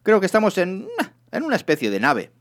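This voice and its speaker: A man voicing an excitable, breezy male space hitchhiker in a comic science fiction audiobook, airy, offhand and teasing. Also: high-pitched, a little fast, pitched above the performer's own voice. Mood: unsure, offhand.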